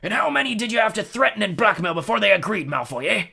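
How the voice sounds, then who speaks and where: A man voicing a big, rough, loyal, hot-tempered gamekeeper who speaks bluntly and loudly in a storybook. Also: high-pitched, big pitch swings, a little slow, pitched above the performer's own voice, exaggerated voice. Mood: furious. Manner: blunt, loud, emphatic.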